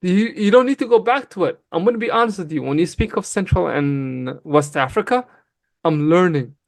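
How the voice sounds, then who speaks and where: A man raised in Canada whose first language is Somali, arguing emphatically and quickly in a heated livestream debate, often calling the other person bro. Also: high voice, animated, gravelly, dry recording. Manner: emphatic, fast, calls people bro. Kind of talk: heated casual argument.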